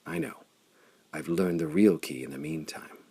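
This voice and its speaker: Man voicing an urgent, husky young adventuress. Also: very deep voice, sing-song, mellow, dry recording, full character voice. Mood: urgent whisper. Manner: hurried, earnest. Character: urgent, desperate young woman adventurer from distant land.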